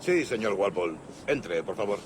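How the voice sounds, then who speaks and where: An actor playing a curt, dutiful Spanish guard in a video game. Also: male voice, lowish voice, lively intonation, a little fast, background noise, close to an everyday voice. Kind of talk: video game background dialogue.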